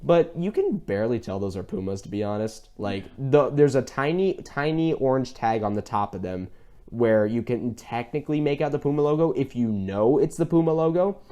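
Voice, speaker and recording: A man hosting a casual review discussion, talking in a rambling, halting way that circles back mid-sentence. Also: medium pitch, expressive, mellow. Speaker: podcast host.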